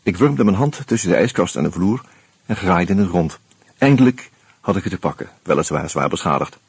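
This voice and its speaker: An actor playing an easygoing amateur sleuth who talks hesitantly and narrates wryly in a Dutch radio drama. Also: male voice, deep voice, lively intonation, fairly quick, creaky, husky, heavily acted. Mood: wry narrating. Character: Hans, easygoing amateur sleuth and wry first-person narrator.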